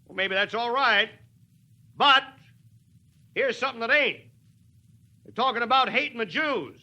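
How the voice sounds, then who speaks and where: A man's voice: very high voice, expressive, measured pace, pushed and bright, exaggerated voice. A man playing a plainspoken, stubborn American small-town mayor with folksy, wry oratory, in 1940s radio drama style. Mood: wry, challenging. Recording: old 1940s radio broadcast.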